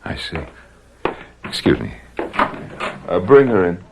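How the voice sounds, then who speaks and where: A very deep-voiced villain from an old radio play. He is a cold, deliberate torturer who stays courteous while he threatens his captives. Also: big pitch swings, gravelly, background noise, full character voice. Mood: smoothly menacing. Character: cold, menacing male torturer demanding a secret formula. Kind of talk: old-time radio drama acting.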